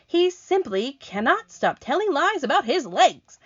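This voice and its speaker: A prim, fussy male earthworm with a high, shrill, indignant voice, as a woman puts him on. It has a lively, swooping pitch, and the recording sounds dull. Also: measured pace.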